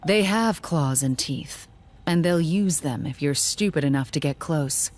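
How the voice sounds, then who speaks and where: An actress playing a curious, blunt scientist in a video game, speaking in an analytical, matter-of-fact way. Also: female voice, low voice, measured pace, darkish tone. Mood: analytical, intrigued. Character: curious, blunt female scientist studying alien creatures. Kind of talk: scripted video game dialogue.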